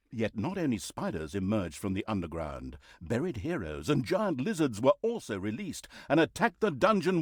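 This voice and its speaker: Sardonic mock-epic narrator for a villainous fantasy game: pompous, very wide swooping intonation, dry studio sound. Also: male voice, mid-range voice, no room sound. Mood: snide, exasperated. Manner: pompous, dry, sarcastic, exaggerated storytelling delivery. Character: sardonic male omniscient narrator of an evil overlord fantasy game. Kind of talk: theatrical, mock-epic storybook narration with snide asides.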